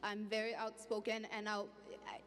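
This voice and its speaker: A woman's voice: medium pitch, monotone, moderate pace, a bit bright. A young woman from Congo speaking earnestly as a panelist on stage, holding a handheld mic in a large hall. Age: young adult. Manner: earnest, outspoken, with restarts. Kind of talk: panel discussion. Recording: hall reverb, faint background noise.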